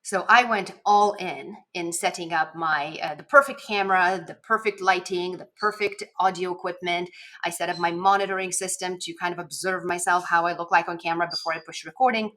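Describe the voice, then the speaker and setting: A woman's voice: lowish voice, steady tone, fairly quick, pushed and bright. Woman with a Lithuanian accent, encouraging coach. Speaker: video coach and host. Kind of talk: casual instructional vlog to camera. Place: home office, indoors. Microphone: desk microphone at a webcam setup.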